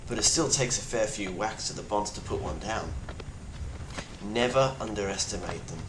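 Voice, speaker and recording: A man playing a grim, weary plague survivor, speaking gravely and bluntly in a halting video-diary monologue. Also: fairly low pitch, close to an everyday voice. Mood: grim, wary. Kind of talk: in-character monologue, found-footage video diary. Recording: roomy echo with clear background noise.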